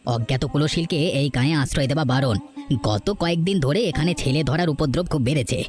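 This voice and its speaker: A man's voice: fairly high pitch, a little fast, darkish tone, faint music behind, lightly acted. A man playing a suspicious village householder in a cartoon folk tale, talking in a curt, grumbling manner. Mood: suspicious, dismissive. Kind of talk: Bengali cartoon folk-tale dialogue acting.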